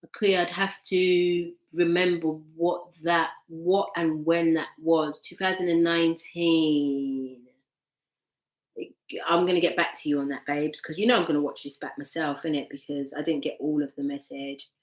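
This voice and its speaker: A woman giving a live tarot reading from home on a laptop mic, veering from excited outbursts to soft murmuring. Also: low voice, normal speed. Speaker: tarot reader. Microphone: laptop microphone. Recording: echoey room, laptop mic.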